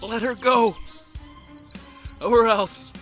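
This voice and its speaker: High-voiced brave young knight, a man acting. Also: fairly even intonation, extremely slow, a bit bright, soft background music, exaggerated voice. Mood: defiant. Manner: earnest, challenging. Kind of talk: audio drama acting.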